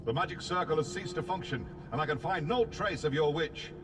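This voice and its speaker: A man's voice: a little high, fairly quick, lightly acted. Man playing a grave soldier, distant, noisy. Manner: formal, insistent. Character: grave, dutiful male soldier reporting to his captain. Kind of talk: video game voice acting.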